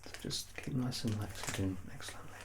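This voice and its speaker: A soft-spoken man in a calm, reassuring ASMR medical exam roleplay, playing the examiner. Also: mid-range voice, fast, background noise.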